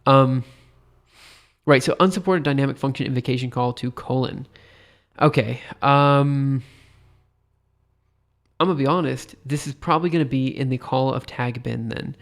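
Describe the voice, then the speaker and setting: A man's voice: medium pitch, moderate pace, pauses often. A tired man with a stuffy nose thinks aloud while live coding on a stream, rambling hesitantly and poking fun at himself. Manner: rambling, hesitant, self-deprecating.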